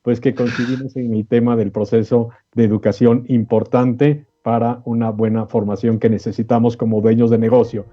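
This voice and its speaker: A man's voice: mid-range voice, level tone, moderate pace, hardly any pauses. A man hosting a panel discussion on business, putting rhetorical questions to the listener. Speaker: host. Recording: dull lo-fi audio, music behind.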